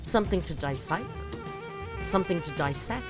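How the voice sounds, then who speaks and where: A woman giving a dramatic poetry reading and commentary, with a theatrical, deliberate, grandiose delivery. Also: on the low side, animated. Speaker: host reading and critiquing a poem. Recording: music under the voice. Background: background music.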